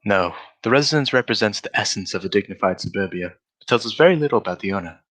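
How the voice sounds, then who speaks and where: An actor playing a brisk, self-assured gentleman detective in an old-style radio drama, with precise, formal diction. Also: lowish voice, animated, rough recording. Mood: assured, instructive. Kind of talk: 1940s radio drama acting.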